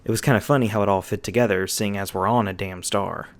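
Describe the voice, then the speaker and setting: Man playing a low, creaky, folksy magi storyteller. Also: steady tone, fast, lightly acted. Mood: relaxed, friendly. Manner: folksy, patient explaining. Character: easygoing male magi guide, folksy, learned storyteller. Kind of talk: ASMR roleplay, conversational storytelling.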